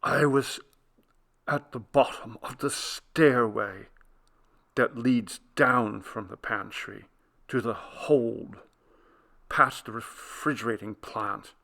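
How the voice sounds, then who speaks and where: A man voicing a frightened, anguished man in a ghost story audiobook, speaking slowly and haltingly. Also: vocal fry, a bit bright. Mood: haunted dread. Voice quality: breaking, low, trembling voice. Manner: halting, slow, haunted. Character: anguished, frightened man confessing a dreadful secret.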